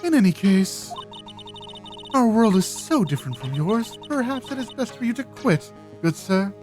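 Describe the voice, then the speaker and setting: A woman voicing a proud, severe village matriarch in a video game, speaking in a formal, theatrical, deliberate way. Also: medium pitch, big pitch swings, a little mellow, soft background music, lightly acted. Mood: cold, dismissive. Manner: haughty, dramatic, deliberate. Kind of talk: amateur video game voice-over.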